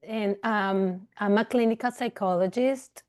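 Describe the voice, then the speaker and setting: A woman's voice: mid-range voice, measured pace, a little mellow. A woman from Venezuela, a clinical psychologist, giving a webinar talk over a video call in a loose, conversational way full of fillers and restarts. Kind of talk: webinar presentation.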